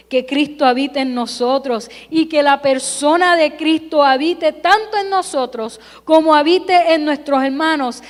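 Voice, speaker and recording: A woman who grew up in California, preaching a Sunday sermon in a church with emphatic, repeated parallel phrases. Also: high voice. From California, United States. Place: church sanctuary. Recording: long hall reverb.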